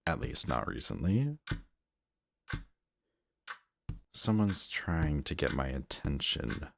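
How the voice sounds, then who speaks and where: A man acting a possessive, anxious antihero villain in an emotional audio roleplay, halting and intense as he mutters. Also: very deep voice, sing-song, creaky, noisy background, heavily acted. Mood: uneasy, brooding. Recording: music behind the voice.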